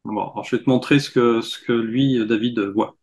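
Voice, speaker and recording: A man hosting a paranormal news show, chatting in a relaxed way and pointing out details in pictures. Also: mid-range voice, fairly quick. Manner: relaxed, pointing things out on screen. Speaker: host. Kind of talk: casual discussion.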